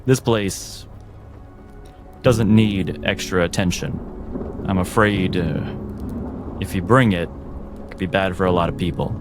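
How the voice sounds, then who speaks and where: Wary fantasy bartender, acted by a male game master, very low and unhurried, blunt, music underneath. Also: fairly even intonation. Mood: stern, guarded. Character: suspicious, guarded male tavern bartender.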